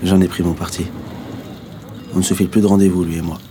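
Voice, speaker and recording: A man's voice: low-pitched, little pitch movement, fast, background noise, close to an everyday voice. A male actor playing a tender, resigned young narrator, telling his story in an understated, intimate first-person radio drama style. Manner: reflective, understated. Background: music.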